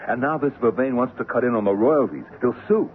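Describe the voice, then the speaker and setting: An actor playing a brusque, easily alarmed producer in an old-time radio drama, indignant and impatient. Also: male voice, mid-range voice, a little fast, lightly acted. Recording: phone-like, noisy old radio recording.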